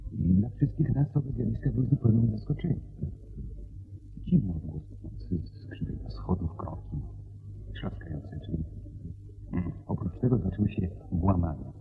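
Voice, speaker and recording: Worried posh-mannered gentleman in radio drama, deep creaky voice, grave and formal. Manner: grave, formal, deliberate storytelling. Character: worried, formal country gentleman seeking a detective's help. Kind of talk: radio play acting. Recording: dull radio drama recording with background noise.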